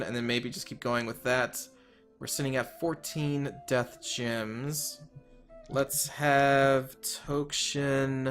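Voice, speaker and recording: Man, mid-pitched, a bit hoarse; casual game commentary, thinking out loud, faint music behind. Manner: thinking aloud, trailing off, self-correcting. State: slightly hoarse, losing his voice. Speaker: let's player.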